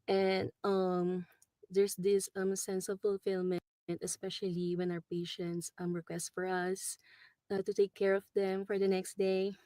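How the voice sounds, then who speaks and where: A woman in her forties with a Filipino accent, a travel nurse speaking in a recorded video message, pausing often with filler words. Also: mid-range voice, little pitch movement, warm tone, clean tone, no room sound. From Philippines. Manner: hesitant, many ums and likes.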